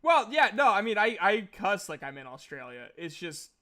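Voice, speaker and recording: A man's voice: high-pitched, big pitch swings, moderate pace, pushed and bright. A man in his thirties bantering casually as game master of a tabletop game, with a giggly, self-deprecating, teasing manner. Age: 30s.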